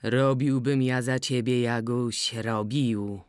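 Old stubborn farmer guy, low coaxing murmur, dry close storybook sound, reader doing it. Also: fairly even intonation, slow pace, smooth, no echo, close to an everyday voice. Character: older widowed farmer, stubborn and smitten. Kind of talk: audiobook character voice.

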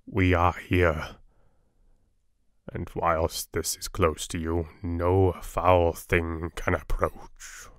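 A man voicing a calm elderly professor, speaking in a hushed, slow, measured way. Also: sits low, no room sound, clean studio sound, voice pushed down. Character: calm elderly male professor, soothing and grave.

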